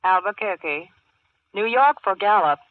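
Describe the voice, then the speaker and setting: A woman's voice: medium pitch, animated, unhurried. A woman playing an impersonal long-distance operator in a 1940s radio drama, formal and singsong, heard down a telephone line. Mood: impersonal, procedural. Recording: tinny phone-like 1940s radio recording.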